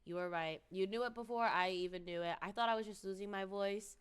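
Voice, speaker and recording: A woman's voice: medium pitch, fairly quick, clear, resonant tone. Chatty woman streamer getting over a cold. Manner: chatty, rambling, many ums and likes. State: recovering from a cold. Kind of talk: casual live-stream chat with viewers.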